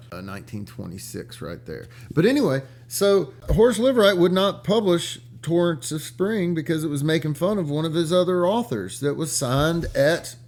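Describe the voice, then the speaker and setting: Male vlogger, mid-pitched voice, casual storyteller, profane and slangy, loose halting delivery that circles back on phrases. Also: darkish tone, slight background noise. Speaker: vlog host and book collector. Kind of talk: casual rambling storytelling about rare books.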